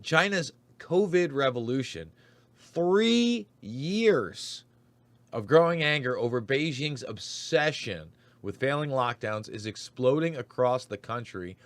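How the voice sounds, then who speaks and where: A hoarse man with a raspy voice, hosting a show of emphatic political commentary. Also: medium pitch, fairly bright tone, no room sound. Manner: emphatic, ranting delivery. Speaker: host.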